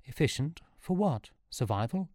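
A man's voice: medium pitch, very animated, normal speed, darkish tone, no echo, studio quality, pitched above the performer's own voice. A man voicing an anxious young male hero in a story, urgent and insistent as he pleads and questions. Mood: incredulous questioning.

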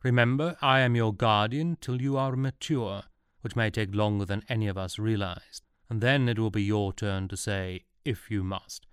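A man's voice: on the low side, moderate pace, clear, resonant tone, no echo, clean studio sound, natural voice. A man voicing a scheming, pompous male royal advisor who is sarcastic and impatient. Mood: condescending. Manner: sarcastic, bossy.

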